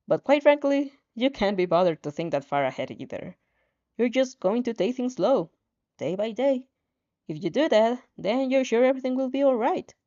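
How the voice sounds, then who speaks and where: A woman's voice: on the high side, lively intonation, normal speed, warm tone, muffled recording. A woman reading a story aloud, often stumbling and repeating words as she narrates. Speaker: fan fiction narrator.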